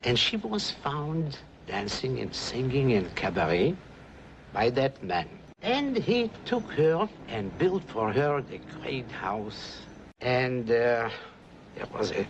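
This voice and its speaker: Older storyteller, 1950s film drama: slow, measured delivery with expressive pitch, noisy period soundtrack. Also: male voice, lo-fi sound. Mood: solemn storytelling.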